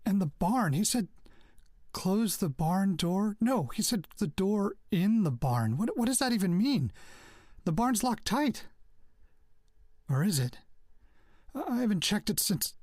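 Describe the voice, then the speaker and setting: An actor playing a shaken, disbelieving son who stammers and repeats himself in an agitated way in an audio drama. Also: male voice, high voice, sing-song, a little slow, darkish tone, no room sound, studio quality, heavily acted. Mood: anxious, puzzled. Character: shaken, disbelieving son piecing together dark secrets.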